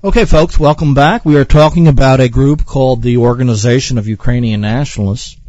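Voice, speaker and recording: A man's voice: mid-range voice, fairly quick, a little mellow, no echo, highs cut off. A man hosting a radio talk show, lecturing earnestly with repeated phrases and frequent fillers. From Ireland.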